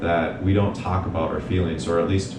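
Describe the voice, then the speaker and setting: A young man, a mental health provider, telling his story in an earnest, measured way as an interview guest. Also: little pitch movement. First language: English. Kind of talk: reflective interview talk. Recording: echoey room, distant mic, noisy rumble.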